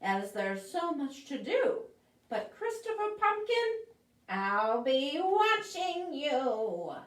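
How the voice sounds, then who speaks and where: A shrill, screeching witch barks orders in a high, swooping voice, as a woman reading a storybook puts her on. The sound is roomy and echoey, with the speaker set back from the microphone. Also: big pitch swings, slow, recorded from a distance, heavily acted. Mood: menacing. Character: screeching bossy female witch, cruel.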